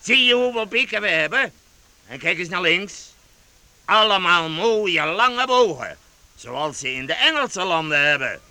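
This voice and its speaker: An actor playing a bold, taunting leader of vagabonds in a youth radio play, with a commanding, swaggering delivery. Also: male voice, sits high, animated, measured pace, pushed and bright, dry recording, heavily acted. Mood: defiant, taunting.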